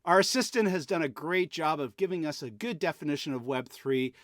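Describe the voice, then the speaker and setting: A man hosting a podcast, explaining things conversationally in an animated, playful way. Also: on the high side, fairly quick, dry recording, studio quality. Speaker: podcast host. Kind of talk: conversational explainer talk with co-host.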